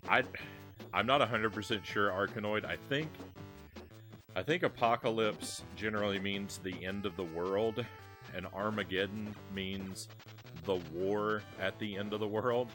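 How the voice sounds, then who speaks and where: A man with a low voice talks to his live-stream audience between retro games. He is rambling and self-deprecating, restarting his sentences often. Faint music plays underneath. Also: fairly even intonation, unhurried, sharp tone, rough recording. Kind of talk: casual live-stream chat while gaming.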